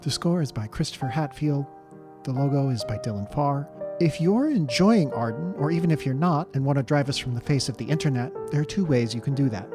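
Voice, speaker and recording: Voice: mid-range voice, animated, brisk. An announcer reading scripted end credits for a podcast. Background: music, guitar.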